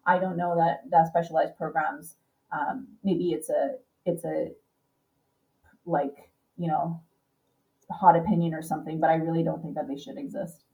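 A woman's voice: low voice, flat delivery, normal speed, gravelly, far from the mic, narrow, tinny audio. A woman researcher thinking aloud in a panel discussion, circling back and hedging as she builds her point.

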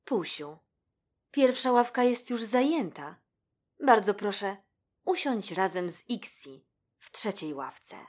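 A woman's voice: mid-range voice, expressive, slow, pitched above the performer's own voice. Woman plays encouraging female spider teacher for storybook audio: courteous, firm, melodic delivery. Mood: polite, instructive. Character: kindly bespectacled female spider schoolteacher. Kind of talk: children's storybook character voice.

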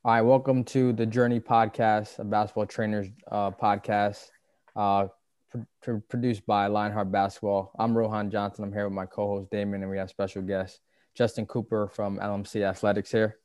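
A man hosting a basketball podcast, asking questions in an easygoing, halting way with frequent fillers. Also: fairly low pitch, flat delivery, darkish tone, clear, resonant tone, dry recording, clean studio sound. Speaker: podcast host. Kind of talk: casual interview chat.

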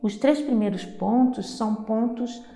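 A woman's voice: fairly high pitch, a little slow, vocal fry, dark tone, reverberant, faint music behind. A woman from Rio de Janeiro with a Carioca accent, lecturing psychologists in a didactic way and often checking in with "tá?". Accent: Carioca Brazilian Portuguese. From Rio de Janeiro, Brazil.

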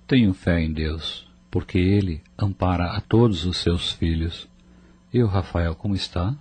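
A middle-aged man playing a devout, steady father in a radio drama, calm and measured. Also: low voice, breathy edge. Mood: firm, consoling. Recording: music behind the voice.

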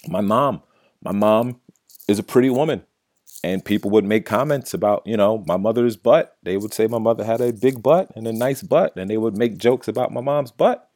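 A man's voice: fairly low pitch, darkish tone, no echo, studio quality. A young man hosting a casual solo podcast, talking bluntly and with a lot of swearing. He stutters at times and keeps ending his points with "right?". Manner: often ends points with "right?". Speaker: podcast host.

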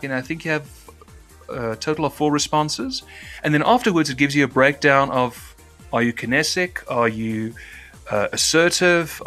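A man from South Africa whose British-trained accent makes his diction crisp and formal. His voice is bright and forceful, and he builds long, winding sentences as a podcast host. Faint music sits under him. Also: medium pitch. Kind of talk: casual podcast chat.

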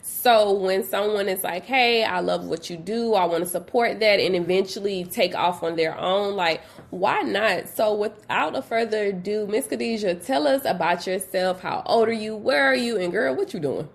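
A woman's voice: medium pitch, moderate pace, gravelly, hardly any pauses. A woman in her twenties hosting a podcast, talking casually in chatty, slangy speech. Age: 20s. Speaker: podcast host.

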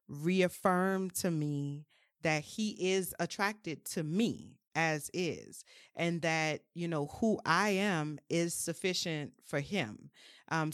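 A woman's voice: fairly low pitch, no room sound, clean studio sound. A woman from New Orleans, talking openly in a personal conversation, with frequent "um"s and "you know"s. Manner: open and frank, many "um"s and "you know"s.

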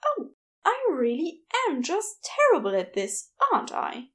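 Audiobook role: gentle, awkward gentleman played by a woman, high-pitched, breathy, prim, lilting and self-deprecating. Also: huge pitch swings, measured pace, heavily acted. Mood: embarrassed, earnest. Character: flustered, gentle, apologetic man, awkward doctor. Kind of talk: audiobook character dialogue.

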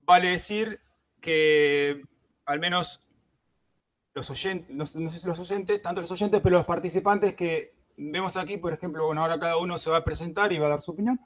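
Guy hosting a podcast, kinda high voice, lots of ehs and restarts. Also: steady tone, normal speed. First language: Spanish. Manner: hesitant, many fillers and restarts. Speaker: podcast host. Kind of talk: casual podcast intro.

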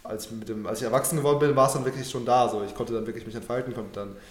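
A man's voice: medium pitch, steady tone, rapid-fire, echoey room. A young man born in Germany, talking casually and earnestly in an interview, thinking aloud with loose slangy phrasing and many fillers. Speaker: guest.

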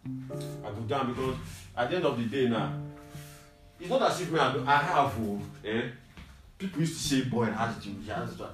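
Nigerian male, animated slangy storyteller, distant echoey mic, guitar music underneath. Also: medium pitch, fairly quick. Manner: animated, slangy, repeats himself for emphasis. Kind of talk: casual banter and storytelling in Pidgin-mixed English.

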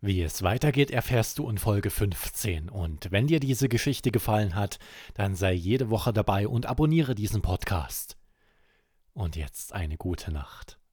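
A man hosting a children's podcast, narrating a scripted bedtime story in an expressive, deliberate way. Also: lowish voice, slightly rough, no echo, clean studio sound.

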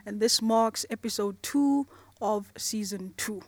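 A South African woman hosting a podcast, speaking in a casual, chummy way with relaxed slang. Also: mid-range voice, measured pace, dry recording. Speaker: podcast host. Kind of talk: casual podcast introduction and interview.

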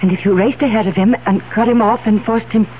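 An actress playing a determined, wealthy fiancée with an insistent, controlled manner in a 1970s radio drama. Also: female voice, medium pitch, fairly even intonation, fast talker, close to an everyday voice. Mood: coldly scheming. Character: cool, determined wealthy fiancée scheming against a blackmailer. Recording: narrow, hissy old radio recording.

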